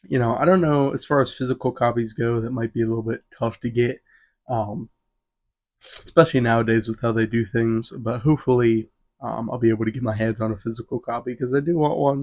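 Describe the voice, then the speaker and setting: A man's voice: medium pitch, darkish tone. A man of twenty hosting a solo podcast, rambling casually with lots of "um" and "you know". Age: 20s. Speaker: podcast host.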